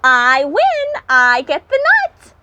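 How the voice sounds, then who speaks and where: A smug storybook hedgehog who delights in winning, acted by a woman. The voice is high and slow, rising boastfully in big swoops. Background noise is audible. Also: huge pitch swings, lo-fi sound, exaggerated voice. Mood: triumphant. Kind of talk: storybook read-aloud.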